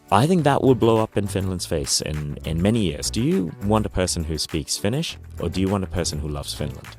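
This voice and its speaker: A middle-aged man from Canada, a technology executive guest telling long stories in a rambling conversational way. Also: low-pitched. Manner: talkative, rambling with restarts. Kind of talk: conversational interview storytelling.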